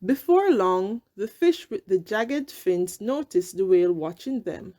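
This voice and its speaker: Slow-paced woman reading a children's storybook. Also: medium pitch, lively intonation, warm tone. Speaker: storybook reader. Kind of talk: children's read-aloud narration.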